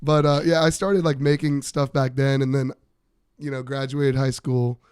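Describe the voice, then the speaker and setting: A young man, a musician guest, chatting loosely with many fillers and pauses. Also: medium pitch, clear, resonant tone, dry recording, studio quality. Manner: relaxed, hesitant, many fillers. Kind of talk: casual interview chat.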